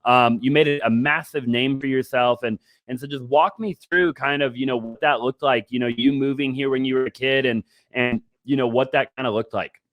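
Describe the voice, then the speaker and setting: A man hosts a casual interview, asking long, winding questions packed with you-knows and restarts. His voice sits at a middle pitch. The sound is dry and close. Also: steady tone, normal speed, fairly bright tone, dry recording. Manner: rambling, stacks fillers and restarts. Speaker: podcast host.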